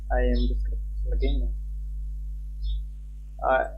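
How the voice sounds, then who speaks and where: A man's voice: mid-range voice, completely flat delivery, normal speed, breathy edge. A young man live-streaming a game, chatting casually and reading on-screen text aloud. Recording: dull lo-fi mic with background music. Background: game music.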